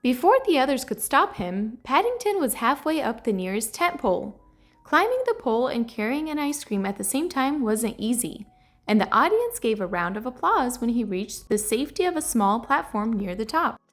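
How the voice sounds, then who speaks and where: A woman reading a children's story aloud in an expressive, storytelling manner. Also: fairly high pitch, normal speed. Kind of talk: children's read-aloud narration. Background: soft music.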